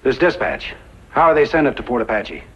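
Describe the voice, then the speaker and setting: Old West gang leader, calculating and bossy, very animated husky delivery, old radio drama sound. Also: male voice, medium pitch, fast talker. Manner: commanding, calculating. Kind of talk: 1950s radio western acting. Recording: muffled vintage radio recording.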